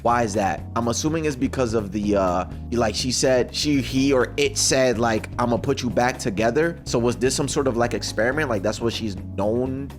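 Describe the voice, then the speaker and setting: A man giving casual, slangy reaction commentary as a streamer, conversational and quick to exclaim. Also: medium pitch, music in the background. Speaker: streamer reacting to game voice lines.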